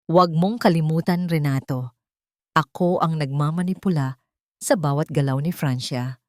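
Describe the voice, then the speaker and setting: Casting: female reader as a scheming, menacing older matriarch in an audio drama, pious on the surface, lowish pitch. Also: moderate pace, dry recording, studio quality, lightly acted. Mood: cold, menacing. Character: greedy, scheming, cruel older mother feigning piety.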